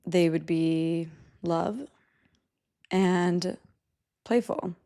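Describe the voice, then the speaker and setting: A woman's voice: on the low side, steady tone, drawn-out words, warm tone. A woman in her late twenties from Guatemala, a psychiatry resident, talking reflectively as a podcast guest and laughing often. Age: 20s. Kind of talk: casual interview.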